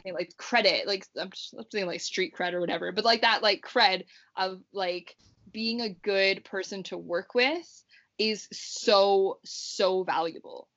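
A woman's voice: mid-range voice, a bit bright. A young woman guest talking casually in an interview, rambling and saying "like" a lot. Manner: rambling, heavy use of "like". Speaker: guest, pro wrestler. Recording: dull lo-fi remote audio.

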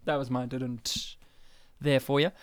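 A talkative, animated man chatting casually and teasingly about films as a podcast host. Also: mid-range voice, measured pace, a little hiss or hum. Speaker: film discussion podcast host. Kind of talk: casual film chat.

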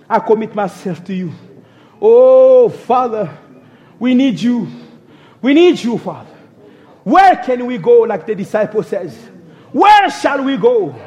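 A young man leads a fervent prayer with an unusually high voice that rises and falls dramatically. He speaks slowly, drawing out his pleas. He breaks his phrases with repeated cries to God. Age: young adult. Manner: fervent, pleading, crying out "oh God" between phrases. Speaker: minister.

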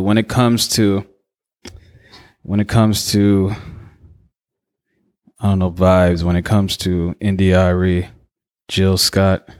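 A man's voice: low-pitched, clean tone. An American man hosting a podcast in casual, slangy interview chat. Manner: informal, slangy, swearing. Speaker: podcast host.

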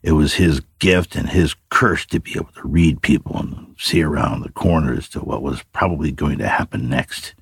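Very deep, husky male story narrator. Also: dry recording, studio quality. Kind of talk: scripted dramatic fiction narration.

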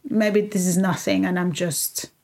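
A woman's voice: lowish voice, steady tone, unhurried, a little mellow. Earnest woman in her thirties. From El Salvador. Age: 30s. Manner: earnest and heartfelt, with frequent restarts. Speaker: guest, fashion designer. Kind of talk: reflective podcast conversation.

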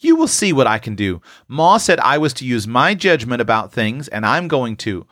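A man's voice: fairly high pitch, big pitch swings, a little slow, a bit bright, no echo, studio quality, pitched above the performer's own voice, heavily acted. A man voicing a spirited, bossy young farm girl from a storybook, with commanding, rustic old-time speech.

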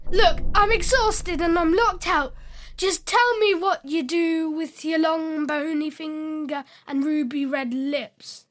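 A woman's voice: very high voice, slow. A woman voicing a frightened, exhausted young boy in a children's story. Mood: pleading. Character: young boy lost in the dark, frightened and tired.

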